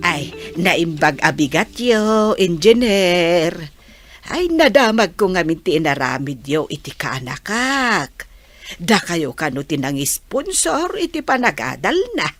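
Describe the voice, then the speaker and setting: An actress playing a pushy, pleading village mother with an Ilocano accent in a radio comedy-drama, full of drawn-out exclamations. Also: fairly low pitch, big pitch swings, fairly bright tone, no echo, soft background music, full character voice.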